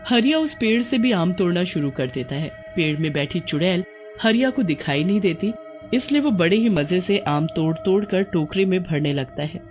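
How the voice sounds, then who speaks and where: A woman's voice: lowish voice, expressive, moderate pace, over music. A woman narrator reading a scripted moral horror tale in a dramatic storytelling style.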